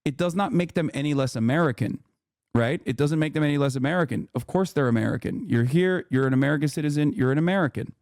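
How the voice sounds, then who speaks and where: A man's voice: fairly high pitch, fast talker, darkish tone, no echo. Man patiently explaining, in dry close sound. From Turkey. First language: Turkish. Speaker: streamer hosting a debate. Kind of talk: live debate, explaining at length.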